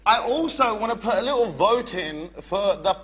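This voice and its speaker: A man with a fairly high-pitched voice hosts a live interview. He asks his questions in a chatty, lively way, with faint noise behind him. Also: normal speed, some chatter behind. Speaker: interview host. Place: theatre stage before an audience.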